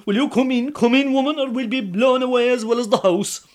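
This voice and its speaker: A man with an Irish accent voicing a poor, flustered fisherman turned king, pitched high and protesting excitably. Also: normal speed. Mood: bitter. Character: flustered poor fisherman made king, male, middle-aged.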